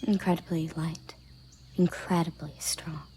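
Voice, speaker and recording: A haughty female elven captain of the guard, acted by a woman for an audio roleplay. Her voice is breathy, mellow and on the low side. She speaks slowly and curtly, giving commands with cool condescension. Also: little pitch movement, a little hiss or hum. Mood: annoyed, commanding. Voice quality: husky, breathy, soft low voice. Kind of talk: audio roleplay addressed to the listener.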